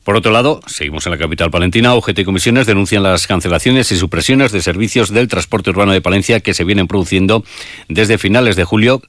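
A man's voice: deep voice, fast talker, fairly bright tone, dry recording, studio quality. A man with a Castilian Spanish accent presenting scripted local news on the radio.

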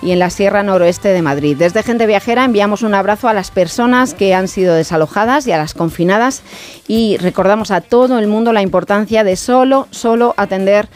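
A woman presents a radio travel magazine with a friendly, chatty manner. Her voice sits in the middle of the range, neither high nor low. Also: fairly quick, darkish tone. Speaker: radio host.

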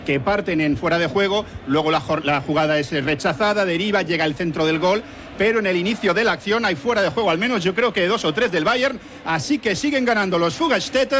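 Spanish guy reporting live on a match, voice a bit high, noisy behind him. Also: quick pace, fairly bright tone. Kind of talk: unscripted live sports reporting. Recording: clear background noise.

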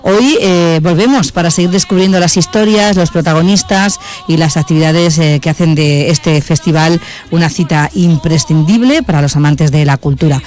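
A man's voice: a little high, moderate pace, a little mellow. A man, presenting a regional radio show. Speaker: radio host.

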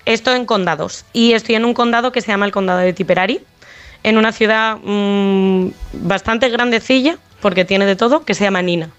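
A woman's voice: mid-range voice, a little fast, clear, resonant tone, dull audio, soft background music. A young woman from Spain with a Madrid accent, chatting as a guest on a show. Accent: Madrid Spanish. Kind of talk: casual chat on a call-in show.

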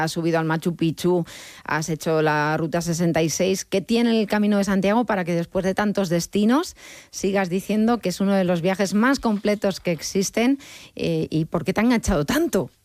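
A woman's voice: fairly low pitch, fairly quick, a little mellow, no echo, studio quality. A woman hosting a radio travel program, introducing guests and asking friendly questions. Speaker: radio host. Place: studio.

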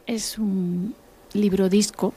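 A woman from Buenos Aires, a musician and author, talking in a relaxed interview and circling back with repeated words as she thinks. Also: mid-range voice, slow pace, a little hiss or hum. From Argentina (Buenos Aires).

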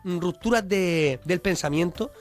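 A man's voice: sits high, a little slow, soft background music. A man, a radio contributor playfully explaining maths puzzles in casual chat. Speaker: mathematician contributor on a radio show.

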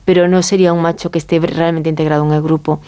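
A woman from Spain with a Castilian accent speaks in a soft-edged, mellow voice pitched a little low. She explains informally as an interview guest, in a conversational way, often ending phrases with a short checking question. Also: level tone, fast talker, smooth. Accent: Spanish from Spain. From Galicia, Spain. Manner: conversational, often seeks agreement with tag questions.

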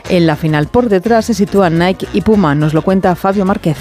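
A man anchoring a news bulletin, reading scripted links in a measured way. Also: high voice, darkish tone, faint music behind.

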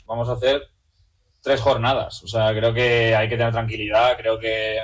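A man's voice: fairly low pitch, flat delivery, moderate pace, low-quality recording. A young man from Madrid with a Spanish accent from Spain, answering casually as a player in a sports interview, restating his phrases. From Madrid region, Spain. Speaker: athlete, team player.

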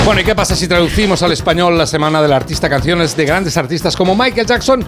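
A man's voice: on the high side, animated, fast talker, music in the background. A man hosting a jokey radio show. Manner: jokey, teasing, sings snatches of songs. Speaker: radio host. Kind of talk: playful radio chat.

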